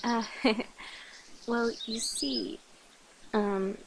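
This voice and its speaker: A woman playing a flirty, affectionate, slightly nervous vampire in an intimate ASMR roleplay, speaking softly, coyly and hesitantly to the listener. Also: a little high, fairly even intonation, very slow, noisy background. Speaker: voice actor in an audio roleplay.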